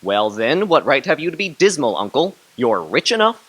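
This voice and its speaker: A cheerful young nephew. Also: male voice, medium pitch, big pitch swings, moderate pace, fairly bright tone, breathy edge. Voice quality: earnest, upbeat. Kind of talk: radio drama acting.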